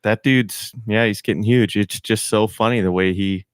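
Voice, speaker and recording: A man's voice: on the low side, steady tone, moderate pace, clear, resonant tone, no room sound, clean studio sound. A man appearing as a podcast guest, chatting casually in a laid-back way and adding wry asides.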